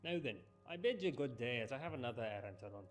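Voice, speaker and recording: A man's voice: mid-range voice, lively intonation, fast talker, natural voice. A man voicing an eager, polite messenger servant in a fantasy video game, speaking in formal, courteous, earnest phrases. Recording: game music behind the voice.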